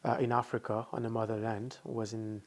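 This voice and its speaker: A man's voice: lowish voice, level tone, fairly quick. A young man from France, a company founder, talking as a guest in a casual interview, thoughtful and hesitant, with many fillers.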